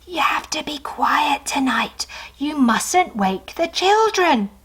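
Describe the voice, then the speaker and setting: Gentle, cautioning storybook creature played by a woman, whispered and high, words stretched out slowly. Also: sing-song, full character voice. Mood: hushed warning. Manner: whispered, drawn-out, hushing. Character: gentle, cautioning storybook animals hushing someone.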